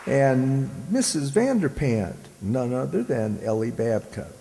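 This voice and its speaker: A man announcing the credits of an amateur radio drama, in a measured, playfully formal way. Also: medium pitch, lively intonation, vocal fry, crowd noise. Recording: echoey hall with background noise.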